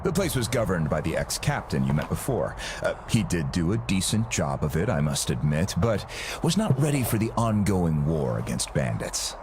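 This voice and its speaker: A man playing a smooth, self-satisfied arms-dealer boss with grand ambitions, speaking in a theatrical, businesslike way in a game cutscene. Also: sits low, big pitch swings, normal speed, noisy background. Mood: grandiose. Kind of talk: video game cutscene acting.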